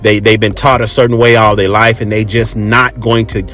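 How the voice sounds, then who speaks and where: A man's voice: lowish voice, flat delivery, normal speed, fairly bright tone, faint music behind. An American man hosting a podcast in a casual, chatty monologue, talking colloquially and emphatically and repeating phrases for effect. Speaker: podcast host.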